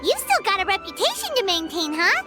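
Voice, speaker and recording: A woman voicing an energetic, teasing young girl in a video game. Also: very high voice, fairly quick, over loud music, full character voice.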